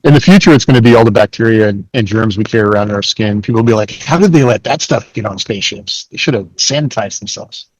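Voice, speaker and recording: A man with a fairly low voice hosts a podcast in relaxed, slangy conversation. His manner is laid-back and chuckly, and the sound is dry, with no room around it. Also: fast talker, few pauses, no room sound, rough recording. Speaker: podcast host. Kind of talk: casual chat.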